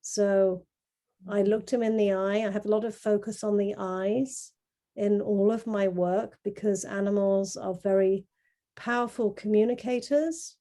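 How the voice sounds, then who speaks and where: A middle-aged woman from Kenya talking informally as an artist presenting their work on a video call, explaining in a measured, earnest way. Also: medium pitch, mellow. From grew up in Kenya. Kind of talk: informal talk presenting artwork to an online group.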